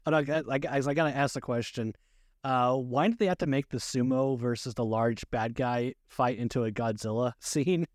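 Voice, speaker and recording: A man co-hosting a casual movie chat, speaking haltingly, repeating words and often chuckling. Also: medium pitch, no room sound, studio quality. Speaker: podcast co-host.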